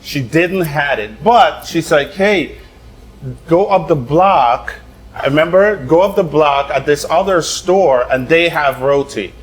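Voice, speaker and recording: A man from Guyana, a chef chatting casually and telling a lively story with quick bursts. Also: a little high. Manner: animated storyteller, quick bursts, laughs often. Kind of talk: casual chat.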